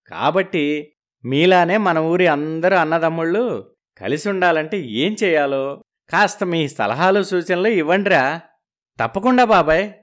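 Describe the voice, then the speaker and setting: A man playing a genial, food-loving village brother-in-law in a moral story, effusive and full of exclamations. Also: on the high side. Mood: earnest.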